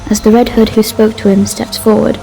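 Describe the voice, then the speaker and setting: Female narrator, mid-pitched, scripted horror storytelling, clear background music. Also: level tone, a little fast, warm tone, poor audio. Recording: music behind the voice.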